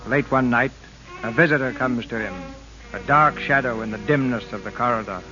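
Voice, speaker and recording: Portentous male radio narrator over loud music. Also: medium pitch, normal speed. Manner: grave, portentous. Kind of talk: 1940s melodramatic scripted narration. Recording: dull old radio recording. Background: dramatic music.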